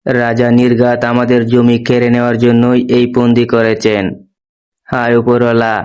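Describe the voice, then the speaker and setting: A man's voice: mid-range voice, completely flat delivery, moderate pace, darkish tone, clean tone, dull audio, close to an everyday voice. A man voicing a poor, anxious woodcutter father who laments in a cartoon fable. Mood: despairing. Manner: lamenting, worried. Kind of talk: moral story cartoon dialogue.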